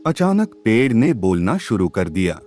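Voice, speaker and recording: A man narrating a scripted children's story in a measured, expressive storybook manner. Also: on the low side, soft background music.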